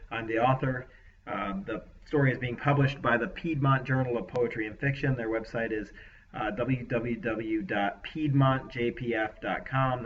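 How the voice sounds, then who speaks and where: A man narrating his own story in a bright, forceful voice. He reads evenly and steadily. The recording sounds slightly off-mic, with a dull, lo-fi sound. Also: medium pitch, distant mic, slight background noise. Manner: relaxed, hesitant chat with fillers after the reading. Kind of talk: audiobook narration.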